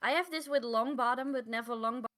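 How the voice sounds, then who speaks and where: Female podcast co-host, voice a bit high, casual halting delivery with repeated words. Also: a bit bright. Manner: halting, repeats words, non-native English phrasing. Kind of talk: casual chat.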